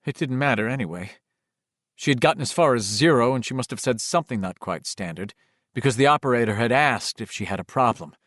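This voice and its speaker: A man's voice: medium pitch, expressive, brisk, a bit bright, no echo. Dry, close male horror audiobook narrator. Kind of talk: dramatic scripted horror narration.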